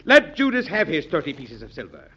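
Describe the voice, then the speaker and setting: A proud American statesman in an old radio play. He is hot-headed and indignant, with a slightly high voice. The sound is dull and dated. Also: wildly swooping pitch, fairly quick, slightly rough, heavily acted. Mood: aggrieved. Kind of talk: 1940s radio drama acting.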